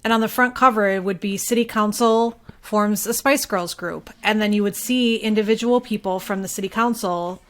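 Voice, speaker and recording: A woman's voice: mid-range voice, moderate pace, hardly any pauses, a bit bright. Female podcast guest, mid-range voice, loose informal conversational delivery. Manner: informal, filler-heavy talk peppered with "like". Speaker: podcast guest, local journalist. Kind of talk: casual podcast chat.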